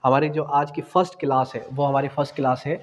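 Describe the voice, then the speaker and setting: A man's voice: fairly high pitch, steady tone, fast. Pakistani male tutor in a reverberant hall. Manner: explanatory, mixes in English phrases, poses questions and answers them. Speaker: law exam tutor. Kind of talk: lecture to exam students.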